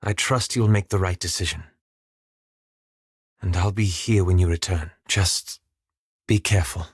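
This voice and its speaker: Low-voiced, scholarly fantasy adventurer. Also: male voice, steady tone, husky, no room sound, clean studio sound, exaggerated voice. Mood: earnest, warm. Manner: formal, measured, articulate, wry understatement. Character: reserved, scholarly, anxious male fantasy adventurer, courteous and candid. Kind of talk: scripted video game character dialogue.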